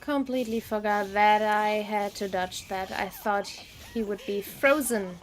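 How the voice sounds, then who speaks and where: A woman's voice: mid-range voice, a little slow. A woman doing casual live gameplay commentary, musing aloud and giving her opinions. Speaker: game streamer. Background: game music.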